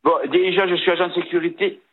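A man's voice: sits high, level tone, quick pace. A man in his sixties from the Vosges with a regional accent, calling in to a radio show and talking hesitantly, with many fillers and restarts. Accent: Vosges French. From Vosges, France. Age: 60s. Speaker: caller. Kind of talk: phone-in call to a radio show. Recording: tinny phone line.

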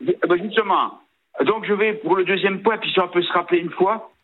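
A man in his sixties with a regional eastern French accent, calling in to a late-night radio show. His voice sits a bit high for a man and comes through a thin, tinny phone line. He talks haltingly and fills his pauses with "euh". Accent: Vosges French. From Vosges, France. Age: 60s. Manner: hesitant, many fillers and restarts. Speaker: caller.

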